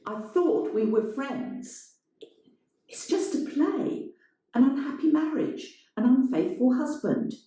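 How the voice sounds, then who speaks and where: A woman's voice: high-pitched, expressive, slow, dark tone, far from the mic, pitched above the performer's own voice. A woman voicing a defiant woman theatre producer in a dramatised short story, pressing and challenging. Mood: hurt. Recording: strongly echoing, roomy sound.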